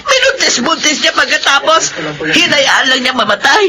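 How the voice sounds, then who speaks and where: A woman's voice: high-pitched, very animated, moderate pace. An actress playing a bitter grieving grandmother in a Filipino radio drama, wailing and accusing. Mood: tearful rage. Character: bitter grieving grandmother blaming her son-in-law. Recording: crowd murmur behind the voice.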